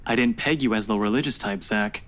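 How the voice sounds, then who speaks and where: A stubborn young skeptic from a visual novel played by a woman. The voice is dropped deep, and he argues in a dry, blunt way. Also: a little fast. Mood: skeptical, dismissive.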